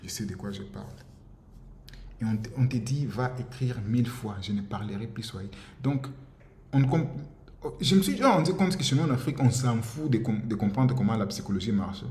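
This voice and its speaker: A man's voice: medium pitch, expressive, a little fast, a little mellow. A man from the DR Congo, giving an impassioned monologue, insistent and repetitive, often stumbling over words. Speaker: commentator. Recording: roomy echo with faint ambience.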